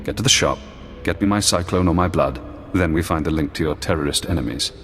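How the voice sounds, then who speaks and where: An actor playing a brilliant, paranoid imprisoned intelligence analyst in a video game, speaking in measured, clipped commands. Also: male voice, deep voice, a bit bright. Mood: calculating. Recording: long hall-like reverb, music behind. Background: game music.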